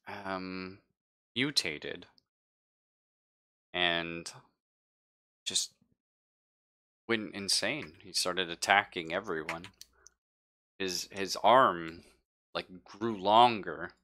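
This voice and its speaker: Male game master, low and forceful voice, improvising haltingly at the table. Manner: halting, thinking aloud.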